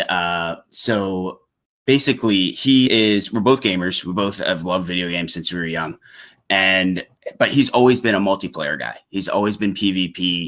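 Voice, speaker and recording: A young man with a low, deep-set voice talks casually as a podcast guest. His delivery is conversational and informal, like one gamer chatting with another. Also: fairly bright tone.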